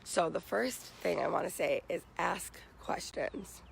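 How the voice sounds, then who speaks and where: A young American man with a raspy, morning-hoarse voice, giving casual advice to viewers as a vlogger. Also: high-pitched, lively intonation, a little slow, fairly bright tone, a little hiss or hum. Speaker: vlogger giving job interview tips. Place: outdoors.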